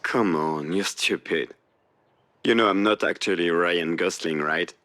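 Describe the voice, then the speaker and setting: A man's voice: sits low, measured pace, bright, forceful tone. An actor playing a wry, devoted male partner in a narrative video game, dry and conversational in a naturalistic style. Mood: dry, teasing.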